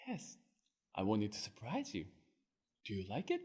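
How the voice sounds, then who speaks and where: A man voicing a fishmonger's wife in a one-performer comic tale, scolding her drunken husband in a sharp, exasperated manner. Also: lowish voice, huge pitch swings, normal speed, slightly rough, full character voice. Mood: gentle.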